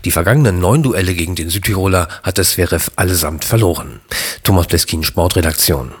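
Man, sports reporter, deep pitch, husky tone, scripted radio report. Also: fairly quick.

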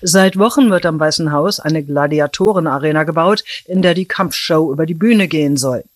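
A woman correspondent delivering a scripted news report in a crisp, pointed way. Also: low voice, normal speed, dry recording, clean studio sound. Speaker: foreign correspondent.

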